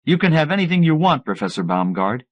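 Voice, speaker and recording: A man voicing an excitable, pushy male mad-scientist inventor with an emphatic, agitated delivery in an audiobook. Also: a little high, fast talker, pitched above the performer's own voice. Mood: eager. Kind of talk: acted audiobook dialogue.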